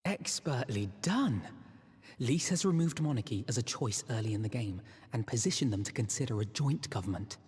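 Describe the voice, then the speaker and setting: An actor playing a cultured, shrewd political adviser in a video game, speaking in an articulate, formal, analytical way. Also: male voice, mid-range voice, expressive, moderate pace, darkish tone, lightly acted. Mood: approving, satisfied. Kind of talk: dramatic video game cutscene acting. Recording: long reverberant hall echo.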